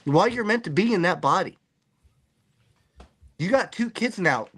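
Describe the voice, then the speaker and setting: A man hosting a podcast in casual chat, animated and joking as he rambles through his musings. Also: high voice, measured pace, slightly rough. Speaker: podcast host.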